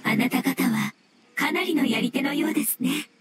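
Woman voicing a high-voiced, polite little creature. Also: lightly acted. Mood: calm, explaining. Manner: formal, polite, measured. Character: gentle floating fungus elemental creature, earnest and polite. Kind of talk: anime game voice acting. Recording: loud music under voice.

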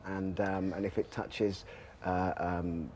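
A man in his forties with a Jamaican accent, an actor talking as a studio guest, thoughtful with pauses and fillers. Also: low voice, little pitch movement, darkish tone, a little hiss or hum. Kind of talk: casual TV interview talk. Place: TV studio sofa.

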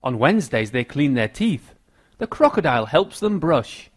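Lively, higher-pitched American male narrator. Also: moderate pace, breathy edge. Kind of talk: scripted rhyming children's narration.

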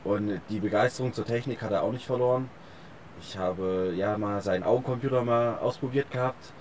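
A young man with a fairly low voice chats as an interview guest. He speaks colloquially, and there is faint outdoor noise behind him. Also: brisk, a little mellow. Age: young adult. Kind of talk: casual reminiscing chat. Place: outdoors on a park bench by a forest.

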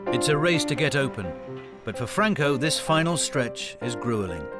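A British man narrates a documentary in a scripted, polished television style. His mid-pitched voice moves with lively intonation over prominent background music. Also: normal speed. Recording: music under the voice.

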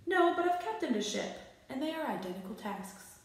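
A woman's voice: high voice, big pitch swings, normal speed, far from the mic, pitched above the performer's own voice. Storybook boy detective, played by a woman: bossy, grandiose, sure of himself, heard in a very echoey room. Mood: bold, self-assured. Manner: grandiose, lofty declarations. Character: pompous, bossy, self-assured boy detective. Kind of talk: children's storybook reading.

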